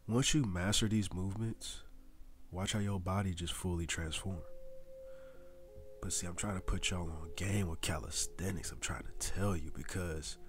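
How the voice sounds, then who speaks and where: A young man giving a casual, slangy motivational voice-over about training, talking straight to his viewers like a coach. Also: low-pitched. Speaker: fitness vlogger.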